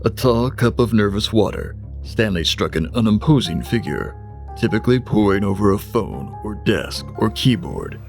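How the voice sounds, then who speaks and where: Eerie anthology narrator: low, widely swooping delivery, theatrical, portentous, sly wit. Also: male voice, sing-song, normal speed, a little mellow, faint music behind. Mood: wry, dramatic. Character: ominous, wry male narrator of an uncanny anthology tale. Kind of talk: scripted narration in vintage eerie TV anthology manner.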